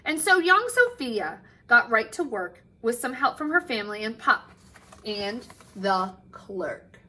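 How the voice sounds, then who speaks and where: A woman teacher reading a picture book aloud to children with expressive delivery, dramatic pauses and drawn-out phrase endings. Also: on the high side, slight background noise.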